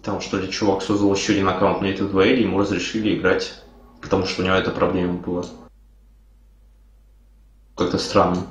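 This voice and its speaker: A man's voice: low voice, flat delivery, brisk, long pauses, slight background noise. A young man talking casually with teammates over gaming voice chat in an echoey room. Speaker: team player in online shooter match.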